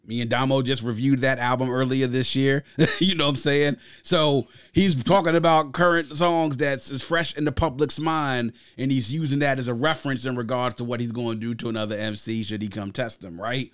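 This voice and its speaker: A man's voice: mid-range voice, fairly even intonation, a little fast, hardly any pauses, clear, resonant tone, no echo, studio quality. A man from Grenada hosting a casual music review, speaking emphatically and repeating phrases for stress. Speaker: podcast host and album reviewer.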